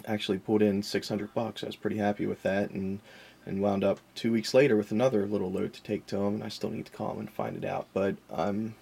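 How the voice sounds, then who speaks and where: A man's voice: fairly low pitch, level tone, fast talker, creaky, a little mellow, slightly rough. A man talking in a casual, rambling, unscripted vlog style, folksy and self-deprecating as he wanders off on tangents. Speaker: vlogger and online reseller of vintage collectibles.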